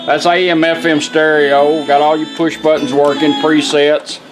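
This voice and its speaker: A middle-aged man with a Southern American accent walks through a product in a casual, folksy style. His voice sits a little high for a man, and music plays behind him. He is plainspoken, like a tradesman showing his work. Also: little pitch movement, moderate pace, low-quality recording. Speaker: radio restorer and product demonstrator. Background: music playing.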